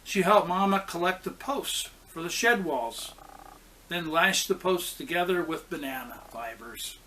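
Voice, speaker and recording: A man, a pastor, reading a children's story aloud in a deliberate, expressive way with pauses. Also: a little high, a little hiss or hum, low-quality recording.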